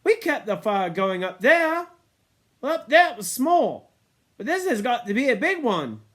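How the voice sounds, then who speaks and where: A man doing a forceful boy leader. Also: very high-pitched, very animated, unhurried, voice pushed up, full character voice. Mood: firm. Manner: forceful, exhorting. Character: earnest boy leader, commanding.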